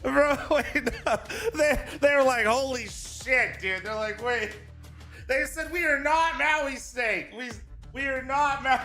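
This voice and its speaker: A man streaming a watch party, reacting loudly with yelling, swearing and laughter, his voice going hoarse. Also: extremely high pitch, slow, soft background music. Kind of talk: excited live reaction chat.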